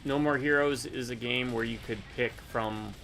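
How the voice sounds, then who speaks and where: A man's voice: medium pitch, steady tone, normal speed, fairly bright tone. A man co-hosting a gaming video, chatting casually and thinking aloud in an offhand, hesitant way. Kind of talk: casual co-op gameplay chat.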